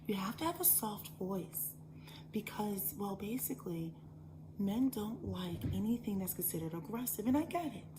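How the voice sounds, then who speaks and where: A woman voicing a preachy female lifestyle influencer who talks in a slow, hushed, breathy whisper. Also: medium pitch, animated, close to an everyday voice. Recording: background noise.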